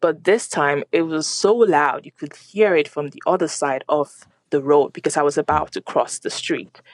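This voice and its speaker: Young woman, French accent, radio guest; mid pitch, halting, filler-heavy, dry close mic. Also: dry recording. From Nigeria. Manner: halting, many fillers and restarts. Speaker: guest journalist. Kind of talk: radio interview.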